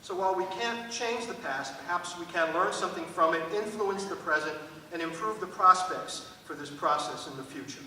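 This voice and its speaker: A man giving a formal, prepared welcome speech to an audience as a school administrator, with measured wording. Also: high voice, pushed and bright. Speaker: school administrator opening a panel event. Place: large echoing hall at a public event. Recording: echoey hall, distant mic, faint noise.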